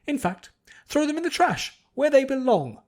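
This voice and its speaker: A man's voice: very high voice, sing-song, normal speed, voice pushed up. A man voicing a spoiled, nasty rich young noblewoman, pretentious, haughty and demanding, in a fantasy story. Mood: imperious.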